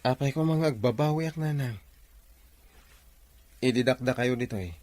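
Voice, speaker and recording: A man's voice: medium pitch, moderate pace, a little mellow, natural voice. Man as a earnest, devoted young suitor. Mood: determined. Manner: earnest, respectful. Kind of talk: Ilocano audio drama acting.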